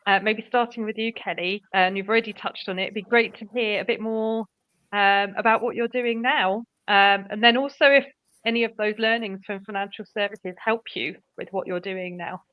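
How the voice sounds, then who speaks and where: A woman's voice: medium pitch, steady tone, moderate pace, clear, resonant tone, dry recording. Friendly woman host, dry lo-fi sound. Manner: friendly, hesitant questions with ums. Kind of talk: interview host.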